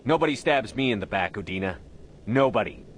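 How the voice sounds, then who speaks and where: An actor playing a hard, resolute military commander in a sci-fi video game, terse and blunt. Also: male voice, fairly low pitch, animated, brisk, a little hiss or hum, lightly acted. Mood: firm, angry. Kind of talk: dramatic video game acting.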